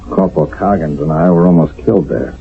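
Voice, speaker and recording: Deep-voiced, grim army sergeant. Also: male voice, fairly quick, soft background music. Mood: grave, wry. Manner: grave, plain-spoken, emphatic. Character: grim, world-weary army sergeant reporting from combat. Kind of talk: radio drama acting. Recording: dull old radio recording.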